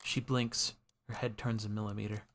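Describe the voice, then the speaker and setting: A man's voice: fairly low pitch, level tone, moderate pace, a bit bright, a little hiss or hum. A man voicing a wry, brooding defense attorney in a world-weary noir game monologue. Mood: poetic, wary. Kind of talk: noir visual novel narration.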